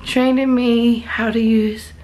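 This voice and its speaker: A woman's voice: a little high, little pitch movement, slow pace, a little mellow, low-quality recording. A woman in her thirties chatting casually into a handheld camera in a cluttered bedroom at home, rambling and poking fun at herself. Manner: chatty, rambling, self-deprecating. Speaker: vlogger, teacher. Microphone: handheld camera at arm's length.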